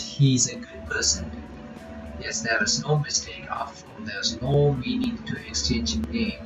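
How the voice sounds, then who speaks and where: A man reads a visual novel aloud and comments on it. He stumbles over some words and breaks off for quick asides. His voice sounds roomy, with clear game music playing behind. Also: mid-range voice, highs cut off. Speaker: reaction channel host.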